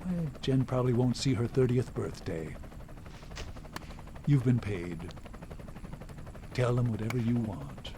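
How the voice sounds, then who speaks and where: An actor playing a bitter, cagey older American father in an audio drama, gruff, folksy and unsentimental. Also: mid-range voice, lively intonation, a little slow, long pauses, noisy background. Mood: cold, resigned.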